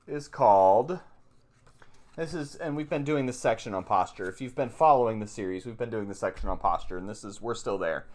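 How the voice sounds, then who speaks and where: A man giving informal spoken instruction as a video host, plain-spoken and halting, repeating phrases as he explains and reads passages aloud. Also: mid-range voice, a bit bright. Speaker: meditation teacher, video host. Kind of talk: informal teaching commentary with read-aloud passages.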